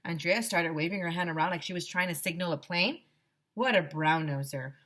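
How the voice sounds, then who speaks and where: A woman teacher reading a children's chapter book aloud in an expressive, animated storytelling style. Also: on the low side, a little fast. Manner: expressive, dramatizes the dialogue.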